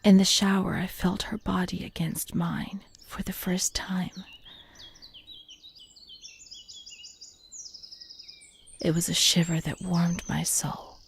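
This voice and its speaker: A woman playing a fragile, haunted young former asylum patient in a narrative game, delivering a slow, halting, confessional monologue in a breathy voice that often sinks to a whisper. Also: lowish voice, fairly even intonation, a little hiss or hum. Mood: wistful, intimate. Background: music.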